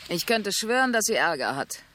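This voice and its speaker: An actress playing a spry, energetic seventy-two-year-old woman neighbor in a radio drama, speaking in a lively, sing-song way. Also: mid-range voice, moderate pace. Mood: eager. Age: 70s.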